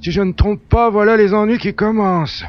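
The recording is dull and lo-fi. A man acts out a self-assured spaceship hunter from a sci-fi audio drama, in a somewhat high voice. He talks in a calm, matter-of-fact way. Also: fairly quick, natural voice. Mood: wary. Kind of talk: science-fiction audio drama acting. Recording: dull, lo-fi, muffled sound.

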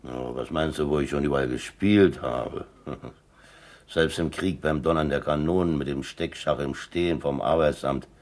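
A man's voice: very deep voice, normal speed. A man acting in a radio play as a talkative, boastful middle-aged sea captain who teaches chess and tells leisurely anecdotes. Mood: boastful, amused. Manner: chatty, boastful, chuckling raconteur.

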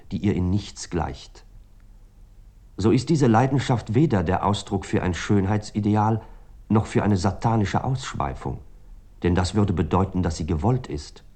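A grave man of letters. His voice is rather low and very dark, soft-edged in tone. He sets out severe convictions in formal, solemn statements, as a scripted literary reading for radio. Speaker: reader of quoted writings.

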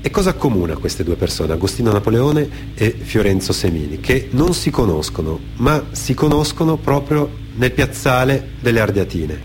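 A man's voice: mid-range voice, very animated, normal speed, hardly any pauses, slight background noise. A man from Genoa performing dramatic radio storytelling, in a reflective manner that sometimes halts and repeats phrases. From Genoa, Italy. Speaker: theatre storyteller, author-performer on a radio theatre programme. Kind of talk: dramatic storytelling, partly off-the-cuff anecdotes and partly read testimony. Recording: music behind the voice. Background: music.

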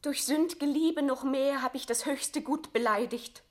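Solemn, high-voiced condemned queen. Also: a little slow. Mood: anguished confession. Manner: solemn, declamatory, fervent. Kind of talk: classical German verse radio drama acting.

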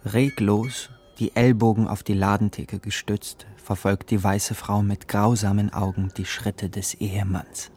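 An actor playing a yearning, brooding young shop assistant who narrates in an intimate, poetic radio drama monologue. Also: male voice, lowish voice, flat delivery, unhurried, hardly any pauses, a little mellow, close to an everyday voice.

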